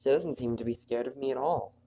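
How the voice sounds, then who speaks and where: Male let's-play host, stuffy nose, casual commentary, quietly reads game text. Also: fairly quick. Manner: relaxed, chatty, reads story text in a quiet low voice. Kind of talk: casual gameplay commentary, reading on-screen text aloud.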